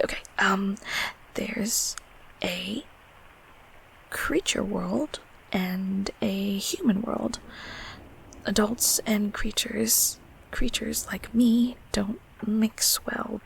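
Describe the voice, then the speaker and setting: A woman's voice: mid-range voice, gravelly, slightly rough, heavily acted, poor audio. A woman voicing a nervous, kindly childhood closet creature in an audio roleplay, stammering and apologetic as she speaks to the listener. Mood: hesitant, confiding. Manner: flustered, stammering, repeating words.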